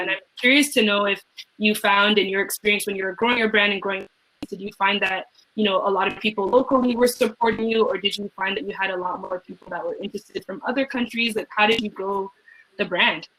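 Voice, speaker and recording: A woman's voice: mid-range voice, a bit bright, low-quality recording. Effusive woman hosting a panel. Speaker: panel host. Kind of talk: conversational panel moderating.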